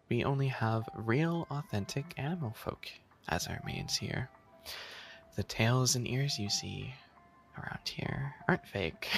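A man playing a flustered, bookish animal-boy maid cafe manager in boyfriend ASMR roleplay, speaking softly and hesitantly with a teasing sweetness. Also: mid-range voice, vocal fry, full character voice. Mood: friendly, playful.

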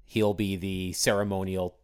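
A man co-hosting a sports podcast, dry and deadpan, his voice a bit raspy from a recent sore throat. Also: sits low, a little slow. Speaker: podcast co-host, hockey reporter. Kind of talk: casual sports podcast chat.

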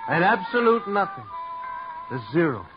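A man's voice: high-pitched, sing-song, normal speed. An actor playing a cool, mocking male killer who thinks himself an artist, in a vintage radio drama. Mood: mocking calm. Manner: smooth, mocking, coolly theatrical. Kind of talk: 1950s radio acting. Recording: dull vintage radio broadcast with music score.

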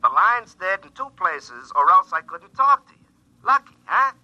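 An actor playing a scheming, insistent future self in a classic radio drama, explaining emphatically through a telephone filter. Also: male voice, sits high, big pitch swings, moderate pace. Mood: persuasive. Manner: emphatic, explanatory, repeating himself. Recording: tinny, band-limited telephone line.

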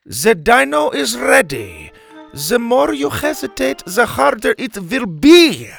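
A man's voice: very high voice, wildly swooping pitch, slow pace, creaky, fairly bright tone, soft background music, voice pushed up, exaggerated voice. A man voicing a bossy, impatient woman who speaks in clipped, formal commands in a children's story. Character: bossy, impatient, scornful female camp onlooker.

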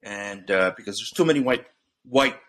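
A man's voice: fairly low pitch, expressive, moderate pace, bright, forceful tone. A middle-aged man, a guest on a talk show, telling stories in a rambling way full of restarts and fillers. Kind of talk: casual storytelling.

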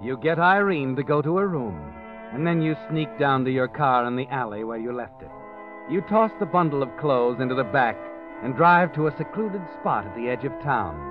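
A man's voice: mid-range voice, animated, normal speed. A man narrating a radio drama in the second person, insinuating and leading. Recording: dull old radio recording. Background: dramatic orchestral music.

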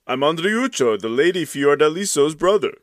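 A man's voice: a little high, very animated, moderate pace, no room sound, studio quality, voice pushed up. A man doing a pleading, duped young man. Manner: protesting, pleading.

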